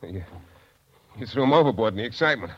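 A man's voice: medium pitch, animated, fast, fairly bright tone, husky, highs cut off. A man playing a tough, terse, combative working sailor in a 1940s radio drama. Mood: defensive. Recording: noisy, band-limited old radio recording.

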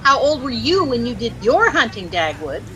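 An actress with an American accent playing a chirpy, easily dazzled young housewife who gushes and lightly scolds in a 1940s radio comedy. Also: female voice, on the high side, very animated, moderate pace, sharp tone. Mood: curious, teasing. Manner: gushing, breathlessly admiring, lightly scolding. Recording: noisy old-time radio recording.

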